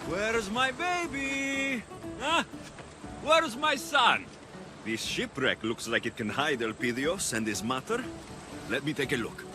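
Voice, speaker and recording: Slow-spoken, animated warrior father. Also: high-pitched, music in the background, full character voice. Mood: playful, doting. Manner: playful, sing-song when talking to his baby. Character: battle-hardened Greek mercenary warrior, a doting, playful young father. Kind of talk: dramatic video game acting.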